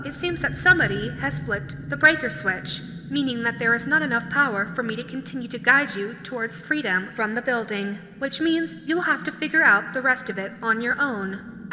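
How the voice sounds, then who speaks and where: A woman's voice: a little high, close to an everyday voice. A woman playing a polite, officious robotic guide device in an audio roleplay, giving formal, matter-of-fact directions like scripted announcements. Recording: long hall reverb, clear background noise.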